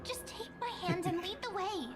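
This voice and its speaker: A woman's voice: very high voice, moderate pace, soft background music. A woman voicing a playful, teasing young female memory sprite in an anime game, coy and theatrical. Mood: eager, reassuring.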